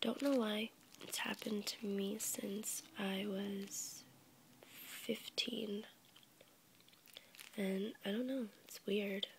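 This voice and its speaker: A young woman with a cold, whispering softly as she rambles for an ASMR video, stuffy and a little congested. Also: mid-range voice, steady tone, slow, long pauses, slight background noise. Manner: soft unvoiced whisper, rambling with fillers and small laughs. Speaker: ASMR YouTuber. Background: crinkling packaging.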